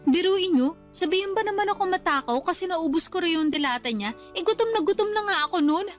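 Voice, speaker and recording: A woman's voice: very high voice, expressive, fast talker. A young woman acting an aggrieved, whiny grown daughter who defends herself to her mother in a radio drama. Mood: hurt, sulky. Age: young adult. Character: aggrieved grown daughter sulking over a lovers' quarrel. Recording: music under the voice. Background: music.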